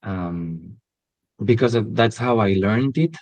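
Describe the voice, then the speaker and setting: A man with a Catalan accent, a podcast guest talking casually and hesitantly, with many pauses and restarts. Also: lowish voice, expressive, darkish tone. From Catalonia, Spain. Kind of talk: casual interview chat.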